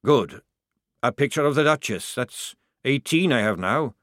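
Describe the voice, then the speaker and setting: Male narrator as veteran army sergeant, gruff temper, sarcastic, barking military delivery, animated storybook character. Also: mid-range voice, unhurried, no echo, clean studio sound, pitched above the performer's own voice. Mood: sardonic shock.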